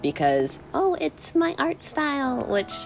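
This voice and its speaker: A woman's voice: high voice, very animated, slow, gravelly. A woman art YouTuber chatting casually while sketching, chatty and upbeat with lots of fillers. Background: background music.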